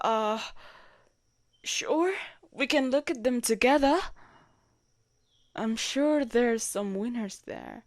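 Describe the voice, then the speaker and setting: A woman playing a jealous, protective royal knight in an intimate audio roleplay, expressive and blunt in manner. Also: on the high side, no echo. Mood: reluctant. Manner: expressive, blunt, sweary, teasing. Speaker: voice actor in a romantic audio roleplay.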